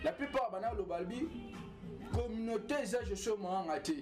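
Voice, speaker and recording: A young man from Congo, answering interview questions in a halting way, repeating himself and sending long formal greetings. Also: sits high, music in the background. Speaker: guest, community member. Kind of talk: casual interview answers mixing French and Lingala.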